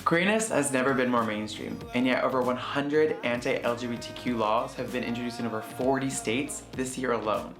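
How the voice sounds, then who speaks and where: A narrator reading scripted, informative narration in a measured way. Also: medium pitch, reverberant, over music.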